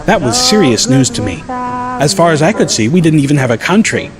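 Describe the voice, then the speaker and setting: A man's voice: fairly high pitch, very animated, fairly quick, crowd noise. A man reading a first-person scripted story as an audiobook narrator.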